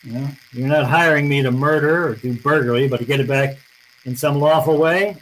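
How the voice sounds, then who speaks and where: Male radio detective, actor-played: tough, dry, unflappable, mid-pitched, clipped wit, faint noise behind. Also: moderate pace, fairly bright tone, close to an everyday voice, rough recording. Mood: sardonic, shrewd. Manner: laconic, sardonic. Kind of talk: old-time radio drama acting and first-person narration.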